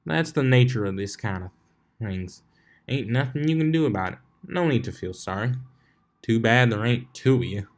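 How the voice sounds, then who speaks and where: A man's voice: mid-range voice, expressive, normal speed, lightly acted. A folksy farm mare from a storybook, played by a man. She is plain-spoken and kindly, with rural turns of phrase and a down-to-earth, reassuring way about her.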